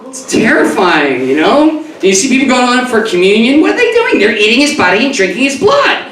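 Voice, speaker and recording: A man from New York doing a stand-up comedy set, rambling and stumbling over his words, then breaking into loud comic outbursts. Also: extremely high pitch, huge pitch swings, a little fast. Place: comedy club stage before a live audience. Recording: echoey club recorded from a distance, crowd audible.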